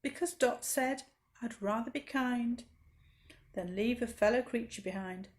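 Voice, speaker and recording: A woman voicing a kind, brave little female butterfly in a children's storybook, gentle and earnest. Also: on the high side, a little slow, close to an everyday voice. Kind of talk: children's storybook read-aloud.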